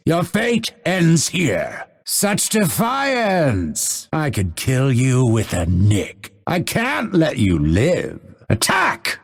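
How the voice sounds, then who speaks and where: Video game assassin, male: breathy low voice, unhurried and deliberate, sardonic and coldly threatening. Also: full character voice. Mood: menacing. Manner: measured, deliberate, dryly sardonic delivery. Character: male unveiled assassin, solemn, dry-witted, coldly menacing. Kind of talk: scripted game character voice lines.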